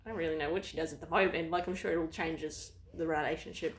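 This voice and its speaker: A woman's voice: sits low, fairly even intonation, fast. A woman with an Afrikaans accent chatting casually as a host, rambling and restarting her thoughts as she goes. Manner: rambling, restarts often, thinks aloud. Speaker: podcast host. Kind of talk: casual chat. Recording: echoey room with faint noise.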